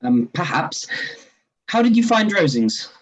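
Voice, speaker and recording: An actor playing a smooth, charming young officer in a live-read radio play, probing with careful hesitation. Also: male voice, high-pitched, very animated, a little slow, heavily acted. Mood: guarded.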